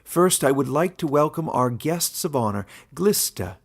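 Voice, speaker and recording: A man plays an elderly fantasy warden with a very creaky, husky voice. He is kindly and quietly playful, yet speaks with ceremonious, mysterious authority, pitched up and measured like a storybook elder. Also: lively intonation, exaggerated voice. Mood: solemn, welcoming.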